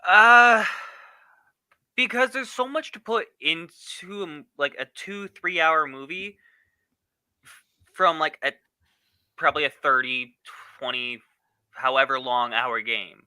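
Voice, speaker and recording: He has a very bright, crisp male voice recorded quite dry. He explains his point casually as a panelist. The delivery is halting and wanders off before circling back. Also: fairly high pitch, animated, dry recording. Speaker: podcast panelist.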